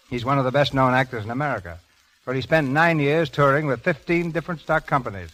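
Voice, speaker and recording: A man's voice: mid-range voice, fairly bright tone, poor audio. A man delivering scripted announcements and narration in a 1930s radio show style, on an old broadcast recording.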